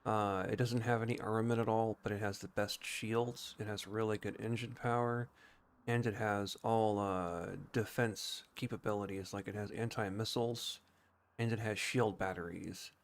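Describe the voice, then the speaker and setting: A man with a fairly low voice talks through a video game as a streamer would. His delivery is casual and explanatory, as he thinks aloud in an informal way. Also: steady tone, moderate pace. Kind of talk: casual gameplay commentary and explanation.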